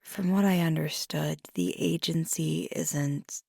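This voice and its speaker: Woman acting a husky, low-voiced werewolf girlfriend. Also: fairly even intonation, warm tone, no room sound, studio quality, exaggerated voice. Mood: thoughtful, wry. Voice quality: breathy, husky, gravelly fry. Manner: casual, unhurried, hesitant pauses. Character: fiery werewolf girlfriend, affectionate, teasing, weary pack member. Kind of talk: ASMR roleplay, soft intimate half-whispered talk to listener.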